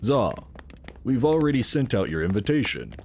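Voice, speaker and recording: An actor playing the assured founder of a futuristic secret city in an audio drama, courteous, reassuring and persuasive. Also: male voice, medium pitch, very animated, moderate pace, gravelly, a little mellow, slight background noise.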